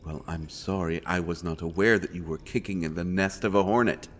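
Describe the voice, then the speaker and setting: A man playing an earnest, protective royal interrogator secretly helping a prisoner in a fantasy audio roleplay, speaking haltingly and with urgency. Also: low voice, steady tone, a little hiss or hum, lightly acted. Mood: urgent, earnest. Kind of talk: dramatic fantasy audio roleplay addressed to the listener.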